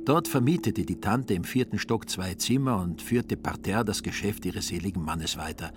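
A man's voice: deep voice, normal speed. A man narrating a literary radio play in a dry, ironic storytelling manner. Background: music.

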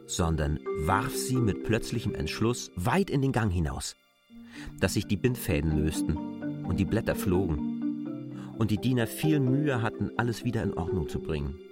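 A man reading scripted literary narration for an audio drama. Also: low-pitched.

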